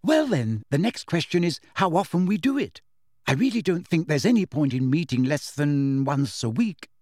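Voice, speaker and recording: A man voicing an earnest, impatient teenage schoolgirl with a brisk, insistent manner in a storybook reading. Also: fairly high pitch, very animated, a little mellow, no echo, studio quality, pitched above the performer's own voice. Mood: relieved, brisk.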